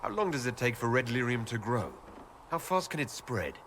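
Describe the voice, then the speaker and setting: An actor playing a firm, measured leader of an inquisition in a fantasy game. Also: male voice, medium pitch, big pitch swings, fairly bright tone, a little hiss or hum. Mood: calm, questioning. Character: resolute male leader of an inquisition, firm and diplomatic. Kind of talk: dramatic fantasy game acting. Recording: game score behind dialogue.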